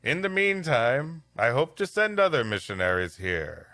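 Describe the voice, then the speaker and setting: A man's voice: mid-range voice, very animated, moderate pace, pushed and bright, no room sound, studio quality, faint music behind. A man voicing a pious, patient missionary saint in a storybook tale. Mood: solemn patient. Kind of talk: storybook reading.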